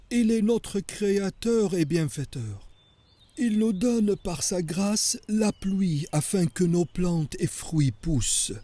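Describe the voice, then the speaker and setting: A pious cartoon sheikh who teaches gravely. The voice is husky, high for a man and soft in tone, moving at a slow, measured pace. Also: dry recording, exaggerated voice. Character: pious, solemn sheikh teaching about the prophet. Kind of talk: French cartoon dubbing.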